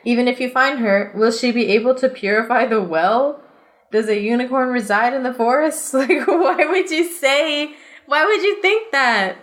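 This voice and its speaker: High-voiced, giggly woman podcast host. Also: normal speed, a bit bright. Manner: giggly, self-deprecating, jokey asides. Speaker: podcast host reading a gamebook aloud. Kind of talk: casual comedic chat and read-aloud.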